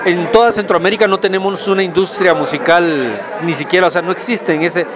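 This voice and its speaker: Guatemalan male musician, high voice, reflective conversational interviewee, busy crowd behind. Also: steady tone, brisk. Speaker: guest singer-songwriter.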